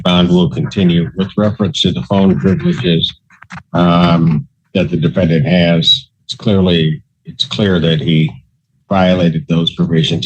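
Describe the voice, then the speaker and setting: A man speaking as a judge, giving formal rulings in a terse, authoritative manner. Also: low voice. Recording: muffled audio with faint noise.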